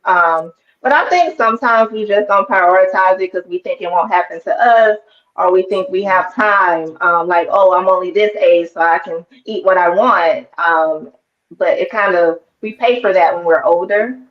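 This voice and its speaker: Low-voiced woman on lo-fi call audio. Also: normal speed. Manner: relaxed, filler-heavy. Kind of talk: casual panel chat. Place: remote video call.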